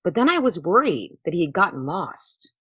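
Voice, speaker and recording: Woman acting an animated young boy, Kenny. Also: fairly low pitch, moderate pace, husky, dry recording, clean studio sound, exaggerated voice. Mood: earnest, puzzled. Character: Kenny, young boy, bored then earnest storyteller.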